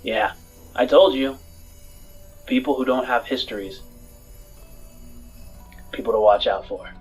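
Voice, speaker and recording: A man's voice: medium pitch, measured pace, husky, soft background music, rough recording. A man voicing a loyal, matter-of-fact informant in a sci-fi tabletop game, talking casually.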